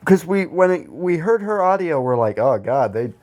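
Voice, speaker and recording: Man, slightly high voice, podcast co-host, casual comedic banter, rambling, halting, laughs easily. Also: big pitch swings, darkish tone.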